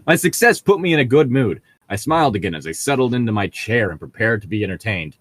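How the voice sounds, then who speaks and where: A man's voice: on the low side, sing-song, quick pace, no room sound. A man co-hosting a read-along show, chatting casually between read passages with a mocking, sardonic manner.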